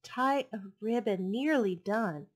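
Slow, sing-song woman reading to children. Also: fairly high pitch. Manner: sing-song, playful rhythmic delivery. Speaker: librarian reading storytime. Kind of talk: expressive picture-book read-aloud for children. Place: living room, indoors. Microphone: headset mic.

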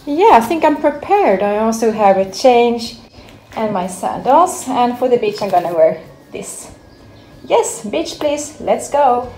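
A woman's voice: a little high, big pitch swings, unhurried, darkish tone, reverberant, slight background noise, low-quality recording. A woman in her thirties, a perfume vlogger, chatting casually and upbeat about her favourite scents. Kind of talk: casual vlog chat.

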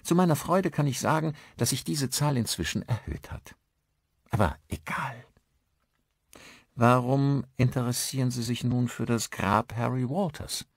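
A man's voice: medium pitch, a little slow, vocal fry, husky, no room sound, clean studio sound, pitched above the performer's own voice. A man voicing a friendly, married village pastor in an audiobook, courteous and talkative. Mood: chatty.

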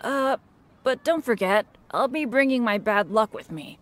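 A woman voicing an unlucky, self-effacing teenage boy adventurer in a video game, earnest and hesitant, quick to get excited. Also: on the high side, a little hiss or hum. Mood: self-deprecating, hesitant. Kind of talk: English video game character dialogue. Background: game music.

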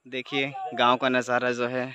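A man's voice: medium pitch, completely flat delivery, moderate pace, crowd noise. A man in his thirties walking through open village fields at dusk, chatting to viewers on a phone held at arm's length. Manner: chatty, addresses viewers directly. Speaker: vlogger. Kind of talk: casual travel vlog narration.

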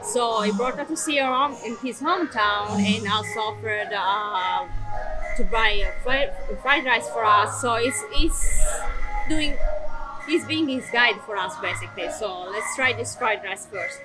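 A woman's voice: a little high, unhurried, over loud music, rough recording. A young woman vlogging casually to the camera, chatty, with lots of fillers and restarts. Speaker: travel vlogger. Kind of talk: casual vlog talk to camera.

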